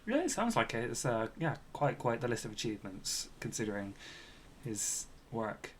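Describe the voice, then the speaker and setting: A man's voice: mid-range voice, very animated, normal speed, fairly bright tone, slight background noise. A man co-hosting a podcast, chatting casually and reacting in a hesitant, understated way. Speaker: podcast co-host.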